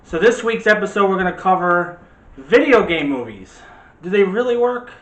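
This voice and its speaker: A man's voice: high-pitched, expressive, normal speed, a bit bright, highs cut off. A man hosting a movie discussion show in a casual, chatty and opinionated way. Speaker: host of a movie review show. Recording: echoey room.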